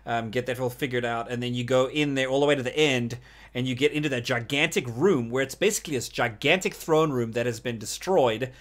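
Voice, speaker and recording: A man's voice: medium pitch, fairly quick, fairly bright tone. A man co-hosting a gaming podcast in casual, rambling chat, hesitating as he thinks things through. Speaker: podcast co-host discussing a video game.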